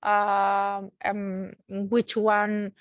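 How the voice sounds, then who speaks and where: Halting woman engineer explaining technical work. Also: medium pitch, monotone, dry recording, lo-fi sound. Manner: halting, searching for words, repeats words. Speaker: research engineer presenting. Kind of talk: technical explanation in an online seminar.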